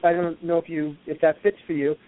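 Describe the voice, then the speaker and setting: A man's voice: on the high side, little pitch movement, brisk. A man in his fifties from New York, hosting a casual discussion and talking earnestly, often searching for his words. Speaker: host. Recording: tinny phone-like line with faint noise.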